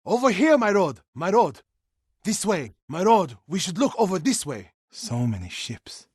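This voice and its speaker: An actor playing an earnest, devout and loyal warrior monk in a video game, husky and solemn, with formal, dramatic delivery. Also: male voice, sits high, big pitch swings, unhurried, a little mellow, dry recording, studio quality, heavily acted. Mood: urgent.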